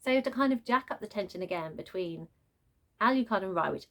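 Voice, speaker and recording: A woman giving an unscripted, chatty book rant as a reviewer, exasperated and prone to animated asides. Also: medium pitch, a little fast. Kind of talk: unscripted book rant, casual vlog chat.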